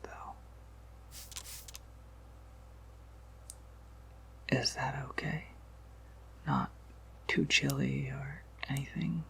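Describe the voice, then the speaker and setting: Vampire apothecary, played by a man: gentle, considerate ASMR roleplay whisper with heavy fry, unhurried and faintly absent-minded. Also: heavily acted, poor audio. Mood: gentle, attentive. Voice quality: breathy whisper breaking into creaky low murmur. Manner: gentle, considerate, hesitant with small asides. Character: soft-spoken, polite, slightly scatterbrained male vampire apothecary shopkeeper.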